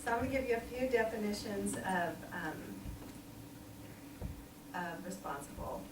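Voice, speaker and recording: A woman's voice: medium pitch, animated, measured pace. Brief: woman in her sixties, lay preacher, chatty with frequent laughs, recorded far off in a roomy, noisy church. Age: 60s. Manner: chatty, laughs often, um-filled. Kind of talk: informal church sermon. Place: small church hall. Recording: echoey room, distant mic, noisy.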